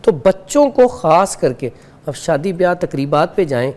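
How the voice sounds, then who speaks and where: A man's voice: a little high, very animated, moderate pace, a little mellow. A man from Pakistan, a studio guest giving herbal health advice, earnest and instructive, going step by step. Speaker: herbal remedy expert guest.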